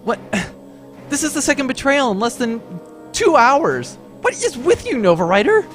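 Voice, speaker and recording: A man's voice: very high-pitched, very animated, normal speed, over music. Man acting a pompous, swooping space adventurer. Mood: alarmed. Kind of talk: comic sci-fi audio drama acting.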